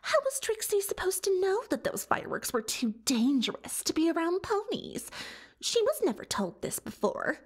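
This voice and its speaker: A woman's voice: very high-pitched, very animated, normal speed, exaggerated voice. A woman playing a boastful, self-dramatising unicorn pony magician in an ASMR roleplay, telling her tale with haughty theatrical airs and confiding asides. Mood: haughty, defensive. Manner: haughty, theatrical, third-person self-reference, conspiratorial asides. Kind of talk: ASMR roleplay monologue, storytelling.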